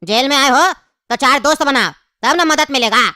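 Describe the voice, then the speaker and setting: A high-voiced performer playing a sarcastic, blunt biryani server in a jail comedy cartoon, with Bihari-style Hindi. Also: moderate pace, shouting, very noisy, natural voice. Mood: brisk advising. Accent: eastern Indian (Bihari-style) Hindi. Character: brusque, mocking jailhouse biryani server, cartoon.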